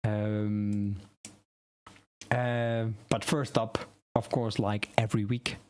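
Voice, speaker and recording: A man's voice: fairly low pitch, level tone, slow pace, darkish tone, clear, resonant tone. A man whose first language is Dutch, hosting a casual live stream on web design news, rambling and correcting himself as he thinks aloud.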